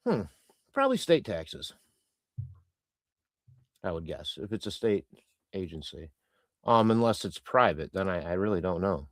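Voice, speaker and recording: Chatty man hosting a livestream, lively pitch. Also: a little slow, long pauses, no echo, studio quality. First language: Croatian. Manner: rambling, chatty, many fillers and restarts. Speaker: livestream host reading chat. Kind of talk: casual live-stream chat.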